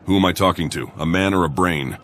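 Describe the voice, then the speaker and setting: A man's voice: sits low, quick pace, fairly bright tone, a little hiss or hum. A man playing a wary, questioning adventurer as the protagonist of a video game. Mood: wary, probing.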